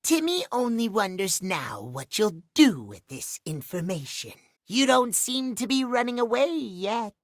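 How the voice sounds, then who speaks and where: A small but menacing video game villain, in a high, androgynous voice that swings widely in pitch. He is boastful, possessive and resentful, taunting at a slow, deliberate pace. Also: big pitch swings, dry recording, clean studio sound, full character voice. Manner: speaks of himself in third person, menacing, taunting. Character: sinister, possessive hidden clock alter ego, boastful and resentful. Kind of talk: video game character acting.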